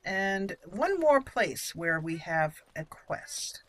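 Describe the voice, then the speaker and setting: A woman's voice: mid-range voice, big pitch swings, slow pace. A woman hosting a game playthrough, chatting casually and playfully as she thinks aloud. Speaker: gaming channel host.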